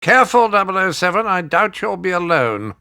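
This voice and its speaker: An older British quartermaster in a video game, high in pitch and swooping in intonation. He is pompous and exasperated, nagging in clipped, sardonic phrases. The sound is close and dry. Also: very animated, fairly quick, bright, forceful tone, no echo, exaggerated voice. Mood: exasperated, scolding. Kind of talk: scripted video game mission briefing.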